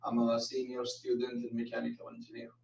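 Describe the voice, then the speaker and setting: A young adult man from Saudi Arabia, a student, answering a panel question haltingly with restarts. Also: mid-range voice, completely flat delivery, vocal fry, darkish tone, far from the mic, a little hiss or hum. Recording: muffled, roomy, from off the mic.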